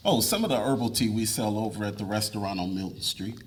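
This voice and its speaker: A man's voice: on the low side, fast talker, natural voice. A man reading the part of an earnest, polite young college student in a dramatic reading of a novel. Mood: matter-of-fact.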